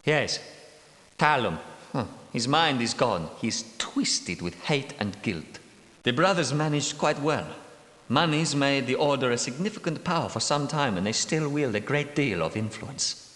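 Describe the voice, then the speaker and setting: A man voicing a bitter, secretive knight guardian who is centuries old, speaking in a measured, world-weary way in a game's voice lines. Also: mid-range voice, expressive, fairly bright tone. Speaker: game character. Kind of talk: game character voice lines. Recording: echoey reverberant room.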